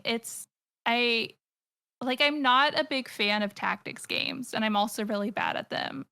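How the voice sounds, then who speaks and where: A woman's voice: medium pitch, vocal fry, pushed and bright. An American woman in her thirties, a guest on a podcast, chatting enthusiastically and rambling with lots of fillers and restarts. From United States. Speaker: podcast guest, comic artist and streamer. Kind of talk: casual podcast chat.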